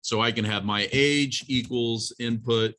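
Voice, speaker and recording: A man's voice: mid-range voice, fairly even intonation, a little slow, sharp tone. A man teaching an informal college programming class, talking through a live demonstration in a plain-spoken, instructive way and addressing students directly.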